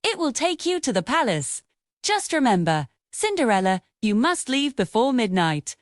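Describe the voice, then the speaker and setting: A woman voicing a kind, gentle fairy godmother in a children's storybook, with soft, reassuring speech. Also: on the high side, big pitch swings, normal speed, no echo, clean studio sound. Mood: gentle warning.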